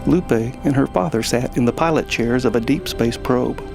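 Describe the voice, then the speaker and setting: A man's voice: mid-range voice, moderate pace, creaky, music in the background. A man reading scripted story narration for an audio drama.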